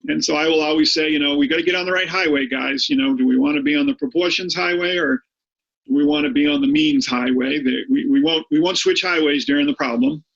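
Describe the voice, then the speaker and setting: Gravelly Boston-accented male teacher. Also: fast talker, lo-fi sound. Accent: Boston with a Maine tinge. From Boston area, United States. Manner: chatty, repeats words often, animated pitch. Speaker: high school statistics teacher. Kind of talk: online review lesson.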